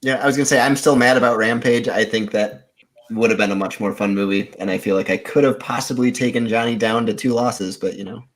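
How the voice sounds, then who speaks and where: A man's voice: medium pitch, fairly even intonation, a little fast. A man chatting casually as a contestant on a podcast. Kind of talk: casual chat.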